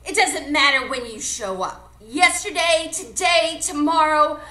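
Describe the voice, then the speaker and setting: Casting: defiant flying boy hero played by a woman, bright, high voice, declamatory radio play delivery, roomy sound. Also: unhurried. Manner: bold, declamatory, taunting. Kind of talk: live radio drama acting. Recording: echoey live stage sound.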